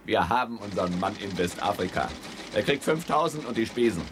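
Bossy, sardonic schemer acted by a man, swooping intonation, radio play with clear office noise behind. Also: on the low side, expressive, fairly quick, close to an everyday voice. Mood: jovial, sly. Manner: domineering, sly, jovially mocking. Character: brash, scheming male commodity speculator, bossy and sardonic. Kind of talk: 1970s German radio drama acting.

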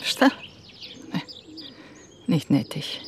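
A woman playing a proud, guarded witness in a radio play, speaking deliberately. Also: slight background noise. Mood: defiant. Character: proud, guarded Serbian woman, witness against her cousin. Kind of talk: radio drama dialogue. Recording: outdoor ambience with birdsong. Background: faint birdsong.

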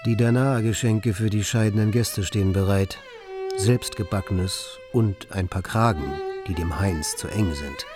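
An actor playing a struggling young poet, ironic and self-questioning, in a radio play. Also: male voice, fairly low pitch, unhurried, over music, close to an everyday voice. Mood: dry irony. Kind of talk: radio play acting with inner monologue.

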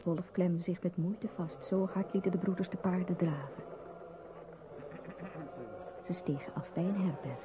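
A woman's voice: lowish voice, unhurried, pauses often. A woman narrator telling an adventure story in scripted radio drama narration. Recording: muffled, slightly noisy radio recording.

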